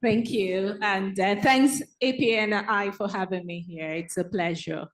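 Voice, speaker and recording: A woman's voice: mid-range voice, fairly even intonation, a little slow. Female panelist, friendly, mid voice. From Nigeria. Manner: friendly, laughing along.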